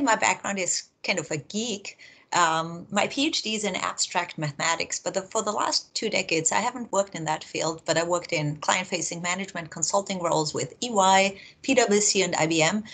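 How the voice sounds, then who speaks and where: A woman's voice: lowish voice, expressive, brisk, fairly bright tone, breathy edge. A middle-aged woman with an Austrian German accent, a research vice president giving an upbeat, explanatory prepared talk from her home office.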